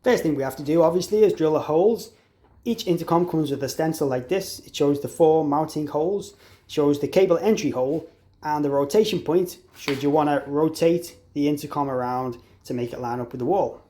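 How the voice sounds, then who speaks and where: Man, somewhat high-pitched voice, casual product tutorial, informal explanatory delivery, installer showing how things fit. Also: brisk, dark tone. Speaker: installer demonstrating an intercom product.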